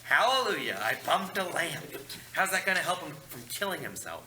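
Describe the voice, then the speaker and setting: A man's voice: fairly high pitch, big pitch swings, moderate pace, bright, forceful tone, heavily acted. A man playing a sassy, snide male ghost with a campy, sarcastic manner at a comedy table read. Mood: exasperated. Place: large carpeted meeting room. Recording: echoey meeting room, noticeable hiss.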